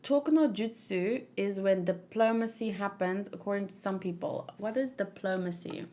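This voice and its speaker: A woman's voice: mid-range voice, moderate pace, dark tone. A woman giving casual, informal commentary as a read-along host, thinking aloud and searching for her words as she goes.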